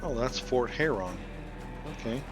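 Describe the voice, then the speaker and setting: Man, mid-pitched voice, casual let's play commentary, clear background music. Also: lively intonation, measured pace. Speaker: gameplay commentator.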